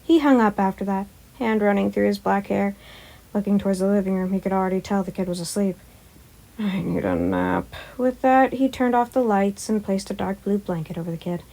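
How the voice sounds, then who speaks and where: A woman with a medium-pitched voice speaks as the reader and host of a story channel. Her style moves between narration and informal talk to listeners. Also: fairly quick, warm tone, rough recording. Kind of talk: scripted story narration and casual asides to listeners.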